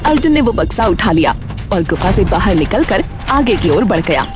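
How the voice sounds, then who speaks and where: A woman's voice: medium pitch, sing-song, normal speed. A woman reading scripted narration for a cartoon story as its storyteller. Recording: music behind the voice.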